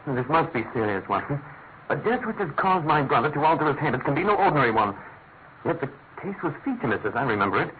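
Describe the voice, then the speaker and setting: Brilliant, restless male detective for a classic radio mystery: articulate, quick and lively in pitch, on a narrow-band recording. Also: a little hiss or hum. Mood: keenly inquisitive. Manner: articulate, declamatory, musing. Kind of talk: classic British radio drama acting.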